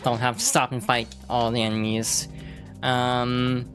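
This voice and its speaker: A woman's voice: extremely low pitch, flat delivery, measured pace. A woman streamer rambling through casual let's-play commentary, with lots of fillers and restarts. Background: game music.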